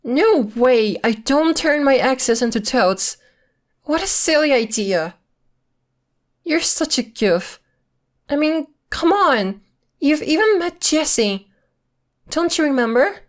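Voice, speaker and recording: A woman acts out a playful girlfriend who is secretly a witch, in an intimate ASMR roleplay. Her voice sits fairly high and she takes her time over each phrase. She is coy and teasing, dodging in a casual, conversational way. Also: animated, slow pace, pauses often. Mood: coy, defensive. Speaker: voice actor. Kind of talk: ASMR roleplay acting, intimate one-sided dialogue.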